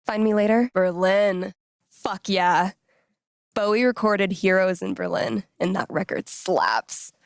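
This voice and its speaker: A woman playing a cool, sarcastic, warm-hearted young indie musician in a video game, with an American accent and casual, slangy delivery. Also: medium pitch, takes it slowly, vocal fry, no room sound, clean studio sound. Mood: tender, earnest. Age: young adult. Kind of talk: naturalistic video game voice acting.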